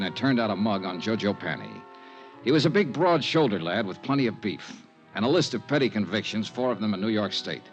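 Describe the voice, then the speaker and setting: An actor playing a cool, skeptical male insurance investigator in a 1950s radio drama, clipped and matter-of-fact. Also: fairly low pitch. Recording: muffled old radio broadcast.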